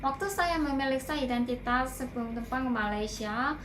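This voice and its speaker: A woman's voice: sits high, moderate pace. A woman from Korea with a Korean accent, giving a scripted talk to viewers in careful, formal learner's phrasing, recorded with noise behind her. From South Korea. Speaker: video blogger, airport security worker. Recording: clear background noise.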